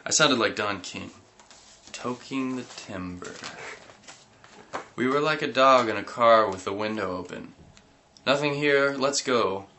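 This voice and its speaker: A man with a lowish, sharp-edged voice reads a comic story aloud. He is animated, often breaks into giggles and sudden yelps, and there is a faint hiss behind him. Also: unhurried, long pauses. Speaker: reader.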